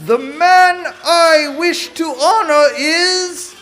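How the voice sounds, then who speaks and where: A performer voicing a pompous, excitable puppet king in a children's puppet show, with drawn-out theatrical delivery. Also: very high voice, exaggerated voice. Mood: commanding, gleeful.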